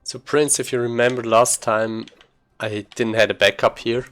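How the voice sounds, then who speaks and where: A man giving casual live commentary on his own video game run, thinking aloud in a halting way. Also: mid-range voice, little pitch movement, fairly bright tone. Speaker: speedrunner commentating own gameplay.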